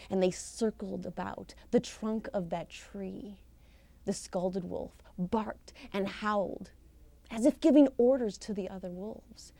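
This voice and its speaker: A woman telling a folktale live as a professional storyteller, expressive and theatrical, with deliberate pauses and emphasis. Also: medium pitch, no echo. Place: live storytelling session at a conference.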